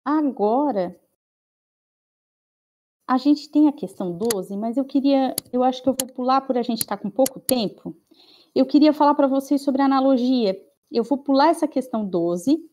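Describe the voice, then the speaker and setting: Brazilian teacher giving an online lesson. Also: female voice, high-pitched, normal speed, darkish tone. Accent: Brazilian Portuguese. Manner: explains patiently, checks in with students often. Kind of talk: online class lecture. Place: online class, remote.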